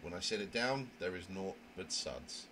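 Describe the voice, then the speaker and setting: A man acts out a prim young visual novel character who narrates in fussy, theatrical phrases. The voice sits fairly low and sounds indignant and proper. The recording carries noticeable noise. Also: very animated, moderate pace, sharp tone, heavily acted. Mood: haughty. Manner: wordy, fussy, theatrical diction. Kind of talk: dramatic reading of a visual novel. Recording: noisy home microphone recording.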